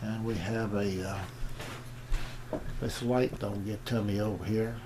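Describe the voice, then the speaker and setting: A man's voice: fairly low pitch, steady tone, a little slow. A man hosting a casual amateur radio demonstration, talking in a hesitant, meandering, plain-spoken way on a noisy home video. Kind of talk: rambling casual demonstration to camera. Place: indoor home radio shack.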